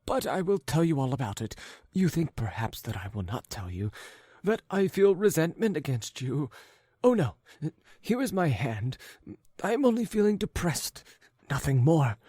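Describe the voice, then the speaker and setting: A man voicing an agitated, impatient young man who speaks in a low, hissing whisper. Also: very animated, moderate pace, mellow, no room sound. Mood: pleading.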